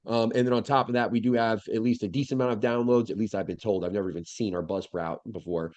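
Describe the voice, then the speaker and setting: A man's voice: lowish voice, fairly even intonation, fast, a little mellow, no echo, dull audio. A man co-hosting a podcast in casual chat over a video call, congested through the nose, rambling and swearing with lots of fillers and restarts. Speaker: podcast co-host.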